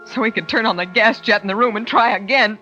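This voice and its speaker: An actress playing a frightened, desperate wife in an old-time radio suspense drama, hesitant and pleading. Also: female voice, medium pitch, fast, pushed and bright, close to an everyday voice. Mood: bitter, shaken. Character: frightened, desperate wife who narrates her own peril. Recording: dull vintage radio recording with music.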